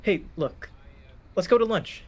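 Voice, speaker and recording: Man playing an awkward, higher-voiced young student. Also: animated, a little slow. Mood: sheepish, wry. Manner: hesitant, casual. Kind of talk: radio-play script reading.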